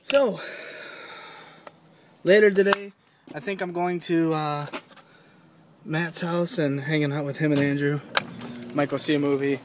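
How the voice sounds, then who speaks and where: A man's voice: on the high side, fairly even intonation, measured pace, long pauses, noisy background. A young man vlogging casually to his phone camera, rambling with lots of fillers and restarts. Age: young adult. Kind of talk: casual vlog chat to camera. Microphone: phone at arm's length.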